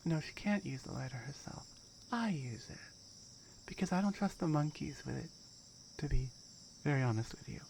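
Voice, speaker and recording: A woman's voice: low-pitched, lively intonation, normal speed, creaky, long pauses, a little mellow, a little hiss or hum. A woman playing a firm, dominant tiger girl in an ASMR roleplay, wry and matter-of-fact as she guards her jungle territory.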